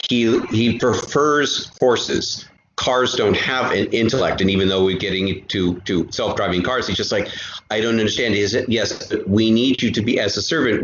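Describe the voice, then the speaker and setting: Echoey low-key man thinking aloud. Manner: halting, repeats words as he thinks aloud. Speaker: guest, writer and software programmer. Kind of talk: casual chat, discursive storytelling. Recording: echoey room, muffled, some hiss.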